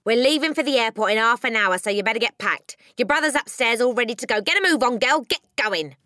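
A gruff bully of a dad acted out by a woman, impatient and curt. He snaps orders with lively swings of pitch. The storybook voice is close and dry. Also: quick pace, no echo. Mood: brusque, impatient. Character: gruff, bullying, impatient father, adult man.

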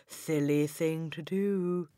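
A woman's voice: sits low, fairly even intonation, takes it slowly, dry recording, studio quality. A woman voicing a knowing older schoolgirl who whispers gossip. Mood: conspiratorial. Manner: hushed, conspiratorial.

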